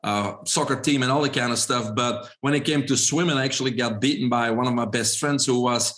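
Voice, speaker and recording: A man in his forties from Belgium with a Belgian accent, a podcast guest who tells his story in a relaxed way with frequent fillers. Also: mid-range voice, brisk. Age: 40s. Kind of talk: podcast interview.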